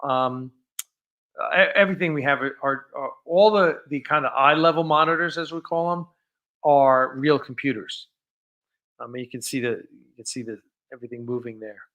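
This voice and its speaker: Bright-voiced man hosting a casual livestream. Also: medium pitch, steady tone, normal speed, dull audio. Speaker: live stream host. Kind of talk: casual unscripted live stream chat.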